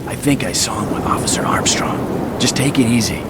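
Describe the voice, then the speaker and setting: An actor playing a wary, steady policeman, terse and cautioning in dramatic game dialogue. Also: mid-range voice, a little fast, natural voice. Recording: loud storm noise over dialogue.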